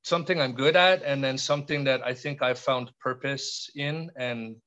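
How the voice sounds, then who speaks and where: A middle-aged man from Canada talks slowly and thoughtfully, often restarting his sentences. His voice sits at an ordinary male pitch. The audio is a bit dull and muffled. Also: steady tone, sharp tone. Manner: thoughtful, halting, many restarts and fillers. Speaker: podcast guest. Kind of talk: reflective conversational interview.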